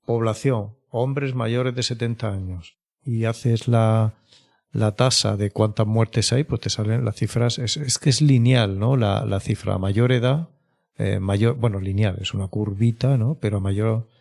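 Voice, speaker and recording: A man's voice: on the low side, fairly even intonation, measured pace, vocal fry, darkish tone. A man explaining as an expert guest in an interview, thinking aloud hesitantly and checking with question tags. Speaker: mental health expert guest.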